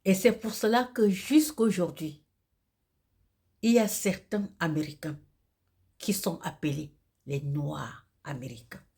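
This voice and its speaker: A Cameroonian woman with a husky, gravelly voice delivers a religious monologue in solemn, declamatory, halting phrases, with a Cameroonian French accent. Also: fairly low pitch, warm tone. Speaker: video host and self-described messenger of God.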